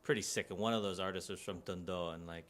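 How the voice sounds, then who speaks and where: A man with a very low voice and a bright, forceful edge. He tells stories about the old neighborhood in a relaxed way, and his voice sinks quieter as he muses. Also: fast talker. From Canada. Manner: relaxed storyteller, trails off into quiet musing. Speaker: guest, community arts organizer. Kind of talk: casual interview chat.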